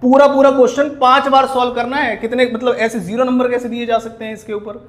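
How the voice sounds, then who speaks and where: High-pitched Indian man, indignant and emphatic. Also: little pitch movement, quick pace. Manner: indignant, scolding, emphatic, rhetorical questions. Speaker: accounting teacher. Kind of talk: explanatory video commentary on exam answer sheets.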